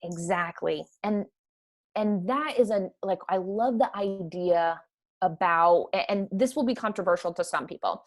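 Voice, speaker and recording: A woman with a Midwestern American accent, chatting energetically as a podcast guest. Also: medium pitch. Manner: energetic, chatty, quick to laugh. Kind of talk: casual conversation.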